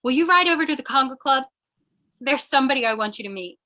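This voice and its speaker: A woman's voice: high voice, lively intonation, quick pace, pushed and bright. A woman playing a plucky, mock-dignified lady gang boss in an old-time radio play, theatrical and coy. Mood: coy, sly.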